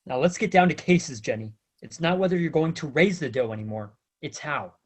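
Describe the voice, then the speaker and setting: An actor playing a smug, menacing small-time blackmailer in an old-time radio crime drama, talking in taunting slang. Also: male voice, fairly high pitch, animated, fairly quick, a little hiss or hum, close to an everyday voice.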